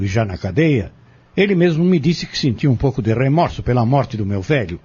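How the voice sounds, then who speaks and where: A cunning, vengeful defendant testifies in an audio drama, acted out by a man. His voice is very husky and animated, and he speaks deliberately and defiantly. The recording is dull and lo-fi. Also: medium pitch. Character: cunning vengeful male defendant confessing in court.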